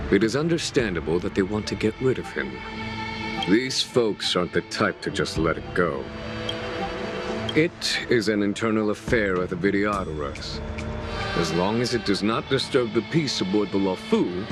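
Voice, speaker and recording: A calm, wise advisor in a game, voiced by a man, explaining matters in a measured, formal way. His voice sits very low. Loud music plays behind him. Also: lightly acted. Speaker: game character.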